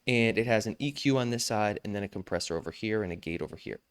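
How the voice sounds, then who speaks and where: Smooth-voiced young man teaching vocal mixing. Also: fairly low pitch, quick pace. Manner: relaxed, slangy, self-joking. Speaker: music production tutor. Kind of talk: casual screen-recorded mixing tutorial.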